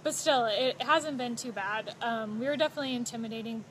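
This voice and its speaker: A woman's voice: a little high, fairly quick, poor audio. Chatty woman on a phone livestream. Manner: relaxed, informative, with fillers and little laughs. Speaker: travel vlogger. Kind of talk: casual live chat. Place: outdoors at a home stay patio. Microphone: phone held close. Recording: outdoor noise behind.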